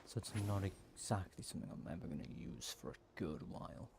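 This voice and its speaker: Creaky-voiced man casually playing a game. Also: low voice, lively intonation, fairly quick, background noise. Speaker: game streamer. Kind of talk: casual gameplay commentary.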